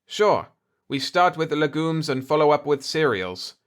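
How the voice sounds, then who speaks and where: A man's voice: a little high, very animated, a little slow, pitched above the performer's own voice. Guy doing a grumbling blustery chief of state in a sci-fi story, indignant and itching for a fight. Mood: proud, bitter. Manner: gruff, combative, complaining. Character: indignant, blustering cigar-chewing planetary chief of state, male. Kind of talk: dialogue in a sci-fi audiobook.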